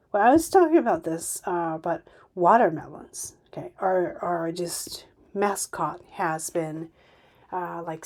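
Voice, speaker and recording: Slow-talking woman podcaster, halting and emphatic. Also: mid-range voice, darkish tone. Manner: halting, many restarts and fillers, emphatic bursts. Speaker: podcast host. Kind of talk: unscripted solo podcast monologue.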